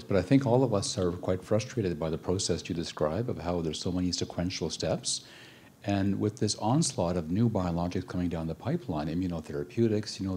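A man's voice: fairly low pitch, mellow, a little hiss or hum. A middle-aged male clinician speaking earnestly and with frustration into a handheld mic at a conference forum. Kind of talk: audience floor comment. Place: large conference hall.

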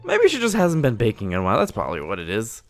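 Man playing an eager, animated young dragon slayer. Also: on the low side, very fast, faint music behind. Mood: casual, unsure. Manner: casual, rambling, stammering. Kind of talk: improvised Minecraft roleplay acting.

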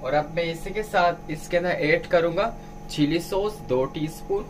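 A man's voice: fairly high pitch, measured pace, background noise. A man giving a step-by-step cooking tutorial as a home cook, instructing viewers directly. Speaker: home cook presenting a recipe.

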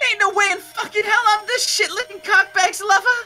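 A woman voicing a brash, foul-mouthed, easily flustered teenage girl, whiny and shrill, in a game fan dub. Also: fairly even intonation, brisk, bright, forceful tone, faint music behind, heavily acted. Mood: outraged, whiny.

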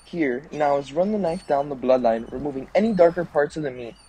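A young man narrating step-by-step food preparation instructions in a measured, explanatory way. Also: on the high side, dark tone, slightly rough, low-quality recording. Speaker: fishing show host.

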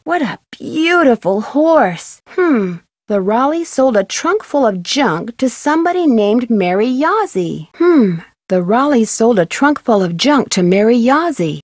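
A woman voicing an inquisitive, upbeat young female detective in an adventure game, chirpy and expressive as she thinks aloud. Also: on the high side, no echo, studio quality. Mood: bright, eager. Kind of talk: scripted adventure game voice acting.

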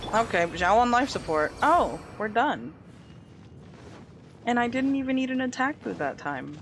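A woman's voice: fairly high pitch, sing-song, unhurried, slight background noise. A woman with a slight lisp, streaming a game and chatting playfully with her viewers in a casual way. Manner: chatty, playful, talks to chat. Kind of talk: casual gameplay commentary. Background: faint game music.

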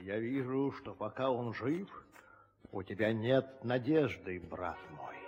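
An actor playing a fierce, bloodthirsty demon warrior in a theatrical 1960s audio drama, speaking portentously. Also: male voice, mid-range voice, takes it slowly, a little mellow, lo-fi sound, lightly acted. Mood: urging, grim. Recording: reverberant old drama recording with music.

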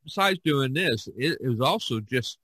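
A man hosting a casual podcast conversation, talking in a halting way with many fillers and restarts. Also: mid-range voice, lively intonation, a bit bright, slightly rough, dry recording. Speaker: podcast host.

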